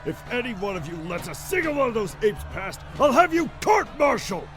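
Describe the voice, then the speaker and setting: A man voicing a gruff, hot-tempered military commander barking orders in an audiobook. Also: extremely high pitch, big pitch swings, brisk, soft background music, pitched above the performer's own voice, full character voice. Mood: furious shouting. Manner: shouting, commanding, grumbling. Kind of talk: audiobook character acting.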